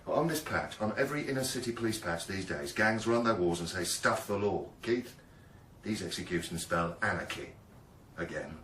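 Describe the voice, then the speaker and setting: A low, echoey, world-weary detective. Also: male voice, lively intonation, normal speed, a bit bright, recorded from a distance, slight background noise. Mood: brooding, bitter. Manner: wry, clipped, brooding. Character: world-weary, wry male police detective superintendent. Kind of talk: radio drama acting. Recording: echoey, off-mic, music underneath.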